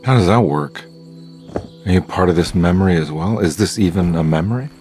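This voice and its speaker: An actor playing a wry, world-weary private detective in a video game, with a dry, sardonic manner. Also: male voice, low voice, brisk, darkish tone, soft background music, close to an everyday voice. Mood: guarded, questioning. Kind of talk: cinematic game dialogue, noir detective.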